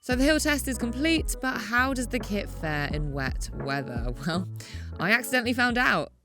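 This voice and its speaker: A woman's voice: on the high side, big pitch swings, moderate pace, sharp tone. Woman's voice-over over music. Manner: clear, informative, lightly upbeat. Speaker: e-bike reviewer. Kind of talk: scripted voice-over narration. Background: music bed.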